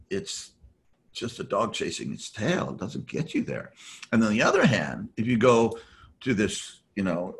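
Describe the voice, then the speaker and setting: A man's voice: lowish voice, a bit bright. A man talking in a reflective, rambling way, with many fillers and restarts, as a podcast guest. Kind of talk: reflective conversational talk.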